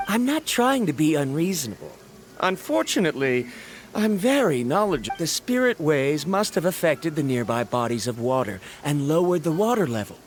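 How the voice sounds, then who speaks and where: A man's voice: a little high, sing-song, moderate pace, slight background noise. A man playing a frank, argumentative young male scholar in an anime video game, speaking formally and precisely. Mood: lecturing.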